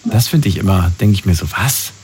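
A man's voice: sits low, brisk, a little mellow, breathy edge. A man hosting a radio call-in, chatting casually and informally with callers on first-name terms. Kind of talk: casual phone-in talk with callers.

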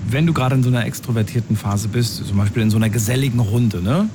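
A man hosting a call-in radio show, chatty and quick to laugh. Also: mid-range voice, noisy background. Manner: chatty, laughs easily, many fillers. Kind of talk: casual call-in chat.

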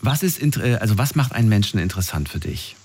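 A man's voice: on the low side, very animated, fast, darkish tone, slightly rough. A man hosting an evening radio call-in show, asking callers friendly, probing questions. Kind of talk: casual call-in radio talk.

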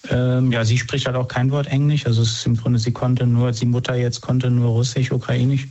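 Dull lo-fi recording, man, mellow voice, even intonation, casual interview guest, hesitant phrasing. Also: mid-range voice, a little fast. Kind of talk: casual conversational answers.

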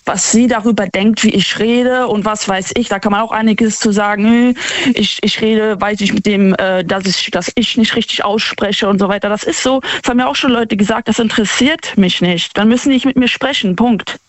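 Halting young woman caller, dry sound. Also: medium pitch, steady tone, no room sound, lo-fi sound. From Düsseldorf. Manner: halting, repeats words, stammers when worked up. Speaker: caller to a radio show. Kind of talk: casual, opinionated phone talk. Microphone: phone.

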